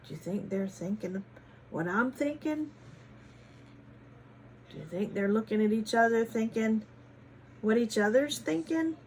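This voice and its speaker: Lady reading a kids story slowly, teacher style w little asides and questions, noisy background. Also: mid-range voice, darkish tone. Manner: teacherly, asking listeners questions, dramatic emphasis. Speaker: children's story reader, former teacher. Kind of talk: animated picture-book read-aloud with chatty asides to children.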